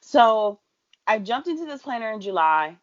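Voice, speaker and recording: A woman's voice: a little high, sing-song, normal speed, no room sound, dull audio. Chatty sassy woman podcaster, dry sound. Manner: chatty, playful, sassy asides with "honey" and "girl". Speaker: podcast co-host. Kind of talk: casual chat.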